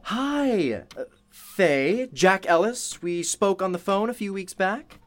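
Breezy, animated radio studio worker. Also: female voice, medium pitch, slow. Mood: breezy, flattering. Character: busy, deferential radio engineer addressing a producer. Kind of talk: radio drama acting.

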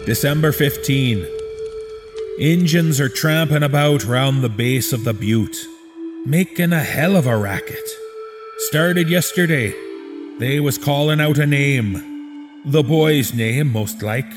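A man's voice: mid-range voice, slow, over music, pitched above the performer's own voice, natural voice. A man voicing a crude, callous frontiersman reading his journal aloud in a folksy backwoods drawl with coarse grammar. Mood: sneering, gossipy. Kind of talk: read-aloud journal entry in a horror story.

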